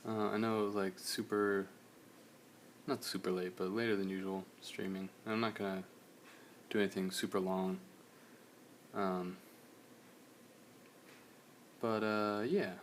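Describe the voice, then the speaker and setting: A young man, slightly hoarse, chatting casually in a relaxed, rambling way while he streams a drawing session. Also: deep voice, slight background noise. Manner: relaxed, rambling, many fillers.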